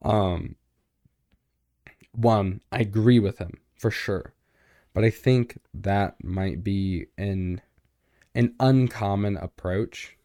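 A young man co-hosting a podcast in casual chat, joking and informal, with a boomy voice close to the microphone. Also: slow, mellow, no room sound. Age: young adult. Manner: joking, informal, self-interrupting. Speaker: podcast co-host.

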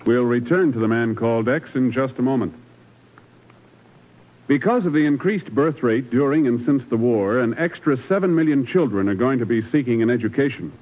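A man delivering scripted announcements and narration as a 1950s radio announcer, formal and earnest. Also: medium pitch. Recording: dull vintage radio recording.

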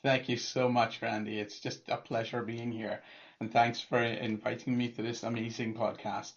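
A man in his fifties from Trinidad, a guest poet telling his story in casual interview talk, often repeating words and pausing on fillers. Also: mid-range voice, flat delivery. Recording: dull lo-fi remote audio.

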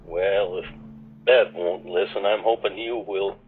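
A haunted, weary war veteran in a radio drama. His voice is deep and very slow, reflective and confessional. It sounds narrow and radio-like, with faint music. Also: fairly even intonation. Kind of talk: radio play monologue.

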